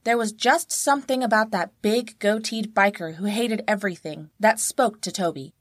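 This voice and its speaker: A woman's voice: medium pitch, normal speed, no room sound. A woman reading a scripted story as a guest narrator, close to the mic.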